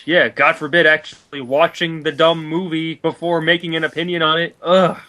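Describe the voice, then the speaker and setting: Higher-pitched man, argumentative film commentary. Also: flat delivery, moderate pace, sharp tone.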